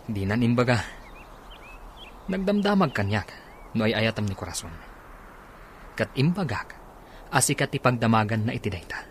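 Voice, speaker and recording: A man's voice: medium pitch, very animated, moderate pace, long pauses, a little hiss or hum. A man with a Filipino accent playing a politely evasive, loyal friend in a radio drama. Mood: explaining.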